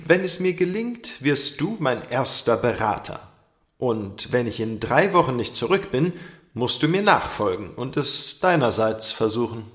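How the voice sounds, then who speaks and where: An actor playing a confident, carefree elder prince in a fairy-tale radio play, self-assured and unhurried. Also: male voice, mid-range voice, big pitch swings, fairly bright tone, husky, heavily acted.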